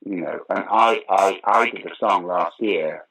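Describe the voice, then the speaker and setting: Older male, low and husky, a theatrical raconteur in conversational interview mode. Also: unhurried, fairly bright tone, poor audio. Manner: theatrical, emphatic storyteller who acts out remarks. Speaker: interview guest, pantomime actor and former opera singer. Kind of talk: animated anecdotal interview talk.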